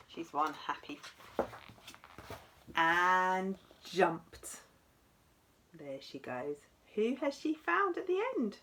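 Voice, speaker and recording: Lady teacher doing storytime for little kids, slow n dramatic, talks to the listeners. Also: on the low side, a little hiss or hum. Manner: dramatic gasps and asides addressed to young children. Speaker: teacher reading a storybook aloud. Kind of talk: expressive children's story read-aloud.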